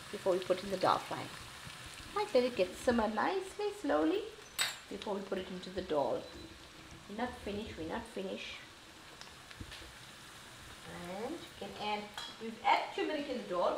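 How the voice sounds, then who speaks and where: A middle-aged woman with a South African Indian English accent, chatting reassuringly as she demonstrates a recipe at home. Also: a little high. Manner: chatty, reassuring, talks while cooking. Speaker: cooking show host. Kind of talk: casual cooking demonstration. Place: home kitchen, indoors. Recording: echoey kitchen with frying noise.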